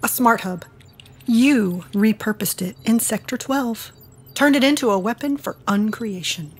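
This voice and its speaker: An actress playing a possessive, mocking woman tormentor in an audio drama, with a husky, deliberate delivery. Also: a little high. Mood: knowing.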